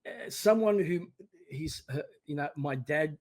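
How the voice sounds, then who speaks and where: A man in his sixties with an Australian accent, a podcast guest talking with animated energy and acting out encouraging voices. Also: fairly high pitch, measured pace. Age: 60s. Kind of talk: casual interview.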